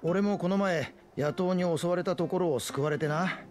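A man playing a friendly, grateful traveling merchant in a video game, with folksy, rough speech. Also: sits high, normal speed, soft background music, natural voice. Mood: pleading. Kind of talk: dramatic video game voice acting.

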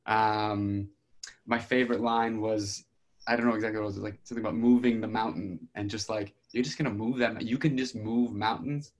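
A man's voice: fairly low pitch, steady tone, brisk. A man giving casual feedback during a group video call. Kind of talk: casual chat. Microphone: video call microphone.

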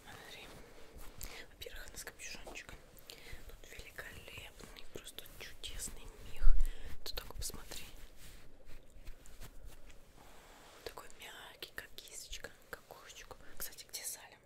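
A young woman whispers an ASMR roleplay as a shopkeeper, coaxing the listener softly and unhurriedly, often repeating words, close to the mic indoors. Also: measured pace, long pauses. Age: young adult. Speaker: ASMR roleplayer playing a witch tailor.

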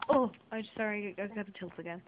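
A young girl chatting playfully in a bedroom, filming on a handheld camera, with silly drawn-out words. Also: medium pitch. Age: child. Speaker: vlogger. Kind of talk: goofy sleepover vlog chat. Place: small bedroom at home. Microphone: handheld camera mic. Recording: muffled lo-fi camera audio with faint hiss.

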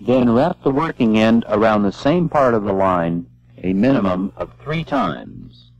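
A man giving measured, step-by-step scripted narration for an instructional film. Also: on the low side, very animated. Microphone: voice-over. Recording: dull lo-fi old film soundtrack.

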